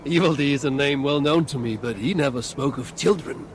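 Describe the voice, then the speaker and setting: A man's voice: mid-range voice, fairly quick, close to an everyday voice. A man playing a proud, wrathful Norse god warrior in a fantasy game, defiant and commanding. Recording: noisy ambience with water sounds.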